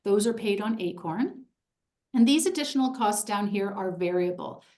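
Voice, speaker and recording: A woman presenting an info session in a clear, organized, upbeat way. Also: medium pitch, lively intonation, normal speed, a little mellow.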